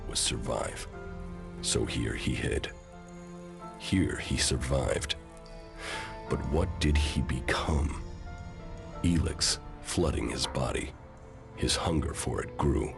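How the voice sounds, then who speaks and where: A stoic post-apocalyptic commander with an extremely low, rumbling voice full of gravel. He speaks solemnly and deliberately, brooding like a game narrator, with music underneath. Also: heavily acted. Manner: brooding, measured, solemn delivery. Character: grim, stoic male military commander in post-apocalyptic sci-fi. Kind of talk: dramatic video game acting and narration.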